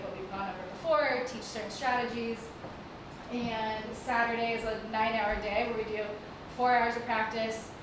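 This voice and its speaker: A woman giving a casual live talk to an audience, chatty and full of filler words. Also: a little high. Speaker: guest presenter. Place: stage in a performing arts hall. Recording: echoey hall from far off, noisy. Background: audible background noise.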